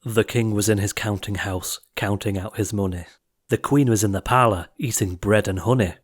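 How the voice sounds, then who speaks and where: A man's voice: fairly low pitch, fairly even intonation, normal speed, husky. A man reading comic verse as an audiobook narrator, with a theatrical, rhythmic delivery.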